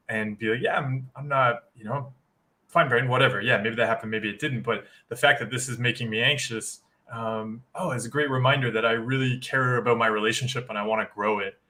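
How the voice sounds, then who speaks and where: A man speaking as a guest on a live-stream chat. His voice is bright and forward, and he explains his points in a reflective, encouraging way, at a middling pitch.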